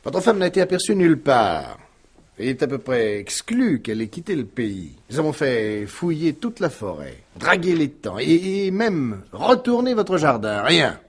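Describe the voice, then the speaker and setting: Sardonic commissioner in a French radio whodunit. Husky, highly modulated, deliberate, coolly menacing. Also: male voice, very animated, heavily acted. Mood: self-assured, pressing. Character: stern, sardonic, self-assured police commissioner. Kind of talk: French radio mystery play acting.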